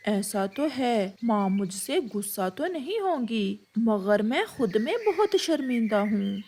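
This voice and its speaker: Woman acting a young cartoon bird son, highish voice, slow, hesitant, apologetic, faint noise. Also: sing-song, a little mellow, full character voice. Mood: anxious, ashamed. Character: anxious, devoted young male bird, son. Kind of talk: Hindi cartoon storytelling.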